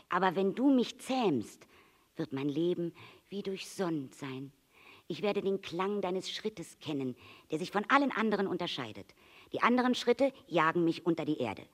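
A reader voicing an earnest, wistful storybook fox, very animated. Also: mid-range voice, voice pushed up. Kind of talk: storybook character reading.

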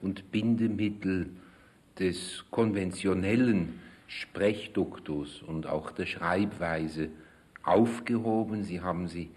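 A man interviewing a guest with prepared questions in formal, measured phrasing. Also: sits low, darkish tone.

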